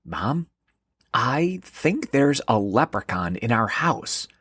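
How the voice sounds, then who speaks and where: Man reading as a curious young storybook boy with lively swoops. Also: medium pitch, slow, slightly rough, no echo, clean studio sound, heavily acted. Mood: excited wonder. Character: curious, excitable young boy hunting a leprechaun. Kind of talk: children's storybook character voice.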